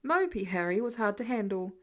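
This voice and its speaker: A woman's voice: mid-range voice, sing-song, a little fast, a bit bright. A woman reading fan fiction aloud as scripted story narration.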